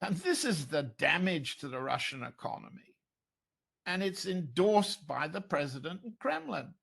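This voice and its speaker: A man giving unscripted political and economic analysis as an interview guest, in a halting, deliberate way. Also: a little high, sing-song, gravelly, fairly bright tone, slightly rough, dry recording.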